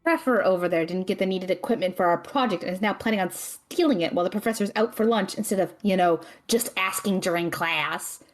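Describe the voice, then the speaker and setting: A woman playing a sharp-tongued, responsible female student mage in an audio drama, blunt and sardonic. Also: mid-range voice, brisk, few pauses, close to an everyday voice. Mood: exasperated.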